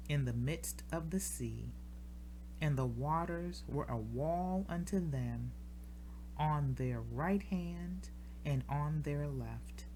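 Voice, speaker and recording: A woman reads scripture aloud in a solemn, measured style, pausing deliberately between short phrases. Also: low-pitched, darkish tone, a little hiss or hum, rough recording. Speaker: scripture reader. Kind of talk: solemn scripture reading from the King James Bible.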